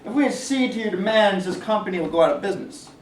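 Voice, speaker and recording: A man's voice: sits high, animated, fast. A man playing a smug, accusing junior factory executive, stern and condescending, in a staged play reading. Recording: echoey room, distant mic, faint noise.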